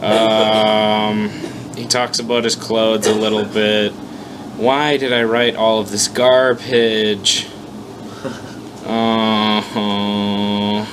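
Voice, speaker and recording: A man's voice: on the low side, little pitch movement, extremely slow. Casual, self-deprecating man reading over background noise. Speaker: host reading own fan story aloud. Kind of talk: unscripted story reading with casual commentary. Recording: noticeable background noise, amateur one-take recording.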